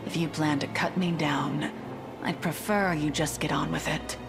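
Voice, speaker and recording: An actress playing a proud, loyal wyvern-riding military commander in a fantasy game, with a formal, haughty, measured delivery. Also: female voice, sits low, steady tone, vocal fry. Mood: defiant, resolute. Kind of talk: dramatic fantasy game voice acting. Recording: loud orchestral music behind.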